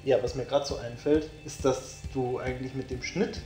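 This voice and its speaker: A man's voice: medium pitch, steady tone, moderate pace, warm tone, soft background music. A young man co-hosting a comedy show in casual banter. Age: young adult. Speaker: co-host of a YouTube comedy show. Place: indoor room, on a couch. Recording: echoey room.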